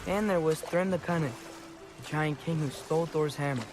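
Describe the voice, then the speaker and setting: A man's voice: on the high side, moderate pace, background noise, close to an everyday voice. A young man playing a curious, persistent teenage boy in a video game. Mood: eager, persistent. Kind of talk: video game dramatic acting.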